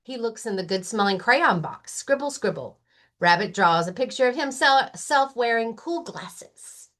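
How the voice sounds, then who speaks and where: Slow, animated woman reading to children. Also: a little high. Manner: deliberate, emphatic phrasing with playful sound words. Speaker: librarian reading aloud. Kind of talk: expressive children's story narration.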